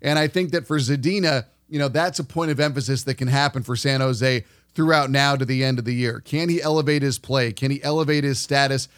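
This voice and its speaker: A man's voice: mid-range voice, steady tone, brisk, hardly any pauses, clear, resonant tone. A man hosting a sports podcast, talking through a monologue in a conversational, emphatic way. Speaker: podcast host.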